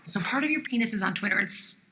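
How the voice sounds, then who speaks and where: A woman's voice: mid-range voice, animated, fast talker, heavy background noise, close to an everyday voice. A woman playing a blunt, matter-of-fact mother in a comedy. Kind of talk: comedy series dialogue.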